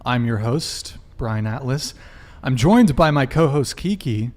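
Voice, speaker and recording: A man's voice: mid-range voice, lively intonation, unhurried, a little mellow. A man hosting a live talk podcast, casually addressing his viewers. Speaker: podcast host.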